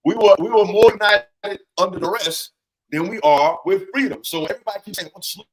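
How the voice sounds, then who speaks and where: A man's voice: high-pitched, fairly quick, slight background noise, rough recording. A man speaking as a panelist in a heated debate, emphatic and argumentative, citing data to make his case.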